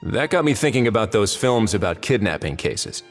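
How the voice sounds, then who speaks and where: Methodical investigator, fairly deep, measured, polite, analytical, reverberant game dialogue. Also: male voice, very animated, soft background music. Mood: calm, analytical. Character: calm, methodical male investigator reasoning aloud. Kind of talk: video game voice acting.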